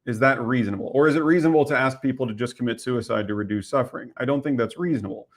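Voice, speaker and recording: A man's voice: mid-range voice, racing. A man arguing the vegan side in an online debate, pressing his case with pointed rhetorical questions.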